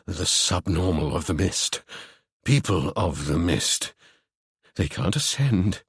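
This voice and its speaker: A male narrator playing a haunted man who mutters dark things slowly and quietly, sounding a little withdrawn from the listener. His low, drawn-out delivery sits in a close, dry recording. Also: very animated, husky, dry recording, full character voice. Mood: muttering. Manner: slow, hushed, distant, mumbling. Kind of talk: audiobook character voice.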